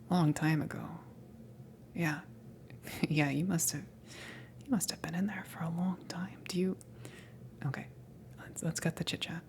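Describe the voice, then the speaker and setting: A woman playing a casual, blunt alien salvage worker in an ASMR roleplay, speaking in a soft, breathy whisper with a halting, teasing delivery. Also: deep voice, heavily acted. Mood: businesslike. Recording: noticeable background noise, ambience. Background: ambient noise.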